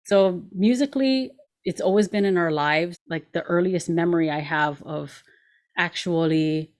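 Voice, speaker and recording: A woman's voice: on the low side, a little slow, a little mellow. A woman in her forties hosting a show, chatting casually in an informal, giggly way. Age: 40s. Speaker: show host. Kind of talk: casual conversation.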